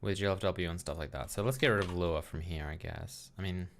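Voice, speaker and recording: A man's voice: deep voice, fairly quick, gravelly, a little hiss or hum. A young man streaming live, thinking aloud while coding and chatting casually with viewers, often trailing off into mumbles. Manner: relaxed, self-deprecating and talkative with chat. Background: keyboard typing and clicks.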